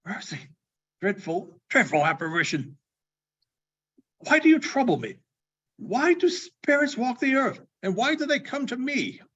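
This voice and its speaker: A man voicing a skeptical old miser with a sharp, emphatic manner in a dramatic storybook reading. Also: extremely high pitch, sing-song, unhurried, pauses often, slightly rough, voice pushed up, full character voice. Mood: terrified, pleading. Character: caustic, skeptical old miser turning fearful. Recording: dull, muffled sound.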